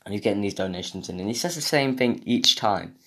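A man's voice: lowish voice, expressive, a little fast, sharp tone, slightly rough. A young British man hosting a podcast, rambling through a casual comedic rant, sweary and mock-indignant. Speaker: podcast host.

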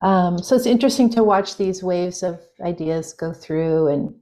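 A woman with a somewhat low voice speaks as an interview guest. She muses her way through her ideas, pausing on fillers and laughing softly. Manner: musing, loose, with many fillers and soft laughs. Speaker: guest, spiritual intuition teacher. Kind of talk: reflective interview conversation.

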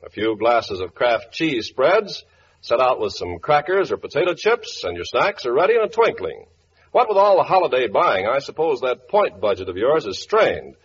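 A man's voice: mid-range voice, husky. An American man reading a commercial as a 1940s radio announcer. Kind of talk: 1940s radio advertisement read. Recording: faintly noisy, dull 1940s radio recording.

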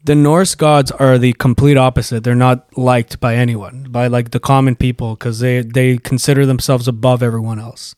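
Male podcast host, mid-pitched, rambling and profane, close dry mic. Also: level tone, moderate pace, hardly any pauses, a little mellow, no echo. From Canada. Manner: rambling, profane, many fillers. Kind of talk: casual chat.

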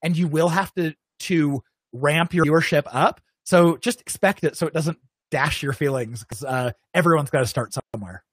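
A man from Arizona speaks as a guest in a live-streamed interview. His voice sits a little high for a man, recorded close and dry with no room sound. He talks in a relaxed, conversational way, sometimes voicing the imagined thoughts of his viewers. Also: moderate pace, slightly rough, dry recording. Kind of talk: casual interview talk, giving advice.

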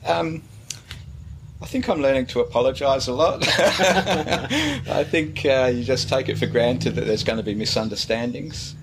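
A middle-aged man, a pastor, answering interview questions in a relaxed, hesitant way, with many fillers and pauses. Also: medium pitch, slight background noise.

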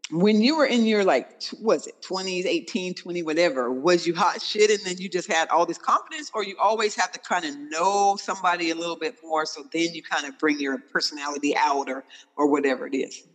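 A woman in her forties hosting a dating talk, frank and slangy, coaching with animated, blunt questions. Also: lowish voice, brisk, big-room sound, rough recording. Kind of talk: casual chat.

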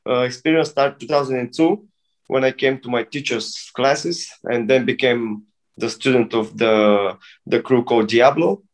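Man, thirties, halting English, casual guest talk. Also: medium pitch. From Bulgaria. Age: 30s. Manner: halting non-native English with many restarts. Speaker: guest breakdancer. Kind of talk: casual interview.

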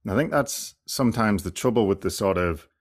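Low-voiced thoughtful podcast host. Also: male voice, lively intonation, brisk. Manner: thoughtful, rambling, many fillers and restarts. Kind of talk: casual podcast conversation.

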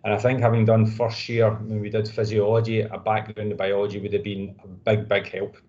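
A man's voice: lowish voice, flat delivery, brisk, a little mellow, dull audio. A Scottish man in his thirties answering interview questions in a modest, rambling way. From Scotland. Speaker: guest physiotherapist.

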